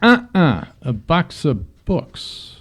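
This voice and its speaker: An actor playing a cheerful, simple-hearted sidekick in an old-time radio drama, with eager, folksy, slangy chatter. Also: male voice, on the high side, wildly swooping pitch, extremely slow, heavily acted. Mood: eager, pleased. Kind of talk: 1940s radio comedy-drama acting.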